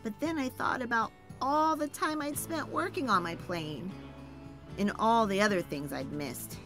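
A woman reading a children's picture book aloud, voicing the first-person narration with animated expression, soft laughs and sighs. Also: a little high, measured pace, faint music behind. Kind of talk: expressive read-aloud storytelling.

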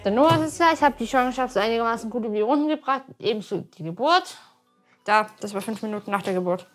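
A woman's voice: on the high side, lively intonation, fast talker, soft background music. A woman in her twenties answering interview questions in her apartment, blunt and offhand. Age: 20s. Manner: blunt, offhand, matter-of-fact. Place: small apartment indoors.

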